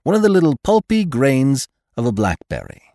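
A man's voice: medium pitch, big pitch swings, normal speed, warm tone, dry recording, clean studio sound, voice pushed up. A man voicing a pompous, exuberant elderly doctor in a storybook reading, with an emphatic, lecturing, oratorical manner. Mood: severe, lecturing. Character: pompous, exuberant elderly male doctor preaching utopian order.

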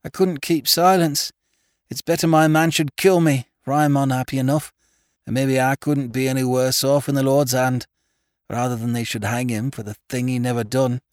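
Man voicing a hoarse, desperate country wife, raised pitch. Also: level tone, moderate pace, a little mellow, no room sound, clean studio sound, lightly acted. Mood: desperate, miserable. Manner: stark, rustic phrasing. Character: desperate, unhappy rural farmer's wife, plain-spoken.

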